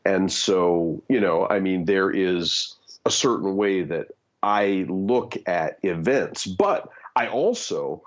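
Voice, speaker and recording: A man's voice: deep voice, animated, slow, dull audio. A man in his fifties giving candid opinions in conversation, earnest, with many fillers and restarts. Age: 50s. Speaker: guest.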